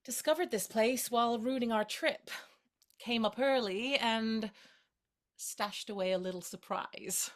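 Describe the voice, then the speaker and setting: A woman's voice: fairly high pitch, unhurried, natural voice. A woman acting in a naturalistic drama as a playful, caring best friend with a light, teasing, encouraging manner. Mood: cheerful.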